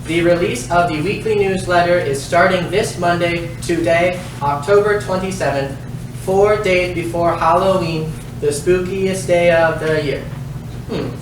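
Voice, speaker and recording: A man playing an earnest, dim middle-school boy in a comic staged reading, with deadpan delivery. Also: high-pitched, unhurried. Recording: echoey noisy room from far off.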